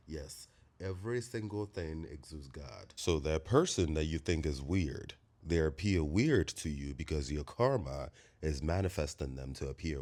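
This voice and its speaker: A man's voice: sits low, a little mellow. A man from Nigeria with a Nigerian accent, hosting a reflective, preachy spiritual monologue with emphatic bursts. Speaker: host.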